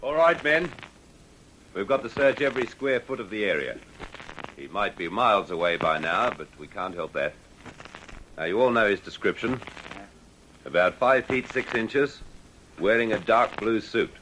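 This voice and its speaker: A man's voice: fairly low pitch, expressive, normal speed, pauses often, sharp tone, a little hiss or hum, low-quality recording. An actor playing a stern police inspector giving authoritative orders to his men in a radio drama. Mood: stern, determined.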